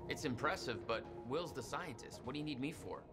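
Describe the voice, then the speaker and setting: A man acting as a casual, sceptical everyman hero in a video game. His voice sits at a medium pitch and his delivery is wry. Loud music runs behind him. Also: a little fast, close to an everyday voice. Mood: wry, guarded. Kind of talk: cinematic game dialogue.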